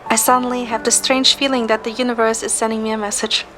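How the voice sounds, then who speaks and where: A woman narrating a scripted first-person story in a measured, descriptive way. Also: on the high side, little pitch movement.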